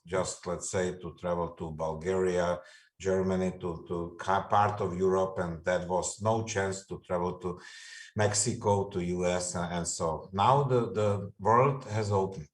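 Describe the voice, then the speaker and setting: Male panelist, very low voice, earnest delivery. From Czech Republic. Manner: earnest, non-native phrasing. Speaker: university panelist.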